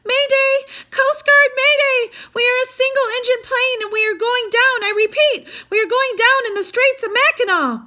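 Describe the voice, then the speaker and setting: A woman's voice: very high voice, moderate pace, few pauses, voice pushed up. A woman voicing a panicked plane pilot making an urgent distress call in a read-aloud storybook. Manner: urgent, frightened shouting.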